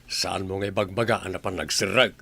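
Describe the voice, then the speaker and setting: A man's voice: low-pitched, fairly even intonation, fairly quick, creaky, sharp tone, husky, full character voice. A man with an Ilocano Filipino accent playing a crabby, snobbish scold in a comedy radio drama. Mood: irritated scolding.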